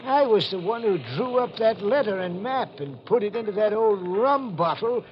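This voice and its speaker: A vengeful old rustic who is touched in the head, in a 1940s radio drama. His voice is high and swings widely as he takes his time over folksy, cackling speech. The old recording is muffled. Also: animated, normal speed, slight background noise. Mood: sly, gloating. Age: older. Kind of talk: 1940s radio mystery acting.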